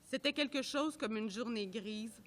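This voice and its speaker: A woman reading a report aloud at a public event, in a measured, careful way that sometimes trips over names. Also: on the high side, a bit bright, slight background noise. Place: art gallery at a live event.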